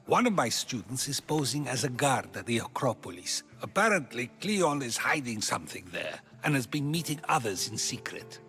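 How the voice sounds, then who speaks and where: A probing old philosopher. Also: male voice, mid-range voice, big pitch swings, fairly bright tone, faint music behind. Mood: measured. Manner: measured, questioning. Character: older male philosopher, calm, probing questioner.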